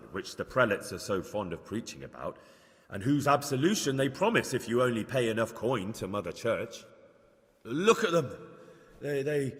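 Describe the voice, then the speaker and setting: High-pitched, swooping delivery, earnest young lay preacher, declamatory yet unsure, halting, game cutscene. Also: male voice. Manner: declamatory but halting, stammering when flustered. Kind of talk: video game acting, improvised sermon. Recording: reverberant church hall.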